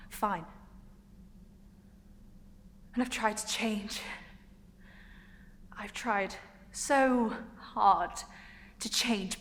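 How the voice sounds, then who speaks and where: A woman's voice: mid-range voice, a bit bright. Woman acting emotional young woman in echoey hall. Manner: emotional, emphatic, pausing for effect. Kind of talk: dramatic stage monologue. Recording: echoey hall, noticeable room noise.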